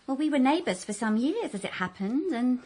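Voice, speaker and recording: A woman's voice: high voice, very animated, brisk, darkish tone, breathy edge, full character voice. An actress playing a gushing, fluttery former girlfriend who walks dogs, in a radio comedy. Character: Judy Page, gushing dog-walking ex-girlfriend. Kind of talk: radio drama acting.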